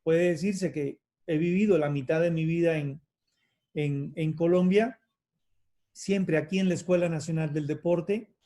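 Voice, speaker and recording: A man's voice: fairly high pitch, steady tone, dark tone. A man, a university lecturer giving a reflective talk, full of fillers and restarts. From Cuba. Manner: hesitant, many fillers and restarts; measured and reflective. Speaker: university lecturer in physical education. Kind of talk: academic conference talk.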